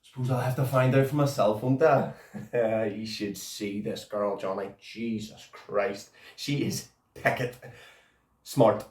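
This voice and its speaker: A man's voice: fairly low pitch, level tone, unhurried, a little mellow, echoey room, recorded from a distance, natural voice. An actor playing a cocky young male prisoner who swears, laughs and pleads. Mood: cocky, excited. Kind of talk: dramatic monologue.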